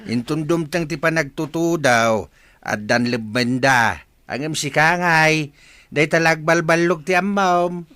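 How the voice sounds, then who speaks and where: A man's voice: on the high side, unhurried, bright, forceful tone, no echo, studio quality. A man with a northern Philippine accent playing an elderly village father who frets and admonishes in a comedy radio drama. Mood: fretful, advising. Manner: scolding, advising.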